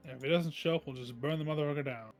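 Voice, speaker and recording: A man's voice: on the high side, very fast. A man joking casually in a group livestream chat and swearing freely. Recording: music playing behind the voice. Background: music.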